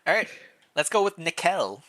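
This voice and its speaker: Bright-voiced man hosting a livestream. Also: high-pitched, big pitch swings, normal speed. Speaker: streamer, host reading fan fiction. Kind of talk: casual livestream chat with dramatic read-aloud narration.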